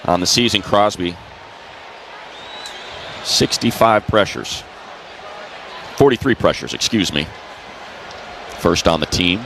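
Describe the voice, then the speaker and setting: A man's voice: deep voice, lively intonation, slow pace, long pauses. A man doing live radio play-by-play of American football in clipped, descriptive phrases. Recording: crowd and ambient noise behind. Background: stadium crowd noise.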